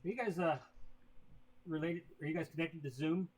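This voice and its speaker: A man's voice: fairly high pitch, moderate pace. An American man from Indiana talking casually as a business client on a conference call, with informal, clipped answers. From Indiana, United States. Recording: noisy conference call audio.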